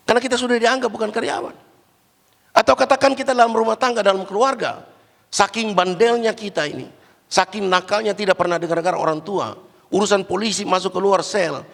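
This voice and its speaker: Guy preaching in a big church with a cold, kinda high voice, loud then hushed, long echo. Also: vocal fry. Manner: swings between loud, high exhortation and low, quiet asides. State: has a cough and a cold. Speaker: guest preacher. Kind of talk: Pentecostal sermon. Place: large church hall. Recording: church hall with long reverb.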